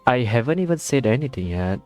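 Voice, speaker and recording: A man's voice: medium pitch, big pitch swings, fairly quick, darkish tone, soft background music. A man giving casual gameplay reactions, with slangy, laid-back and hesitant phrasing. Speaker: gaming streamer.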